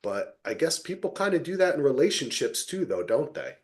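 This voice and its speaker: A man hosting a podcast, chatting casually about television in a rambling, animated way and swearing freely. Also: a little high, fairly quick. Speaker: podcast host. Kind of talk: casual TV recap discussion.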